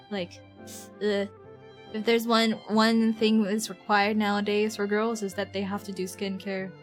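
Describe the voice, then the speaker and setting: A woman's voice: fairly high pitch, fairly bright tone. A young woman livestreamer chatting casually over a game, slangy, giggly and self-deprecating. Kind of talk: casual chat while streaming a game.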